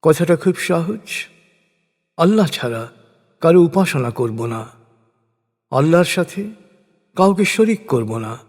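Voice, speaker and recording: A man narrating translated scripture as solemn scripted prose, formal and declamatory, in measured short phrases. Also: on the high side, expressive, darkish tone. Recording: long hall-like reverb.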